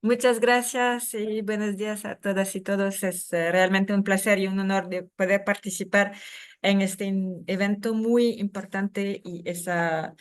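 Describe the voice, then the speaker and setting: A woman's voice: mid-range voice, fairly even intonation, unhurried. A woman speaking Spanish as a second language, giving a conference talk over a video call, with many fillers and restarts. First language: not Spanish. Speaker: secretary general of a human rights NGO.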